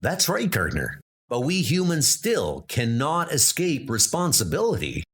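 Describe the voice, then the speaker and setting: A man's voice: on the high side, huge pitch swings, a little slow, a bit bright, breathy edge, full character voice. A man playing a brave adult armored knight, speaking in an earnest, rallying way. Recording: music playing underneath.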